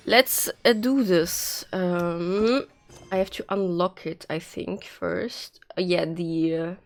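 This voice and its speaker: A woman's voice: on the low side, dry recording. A woman livestreaming a game with casual, informal chat and commentary, her nose congested and her voice slightly nasal. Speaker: game streamer.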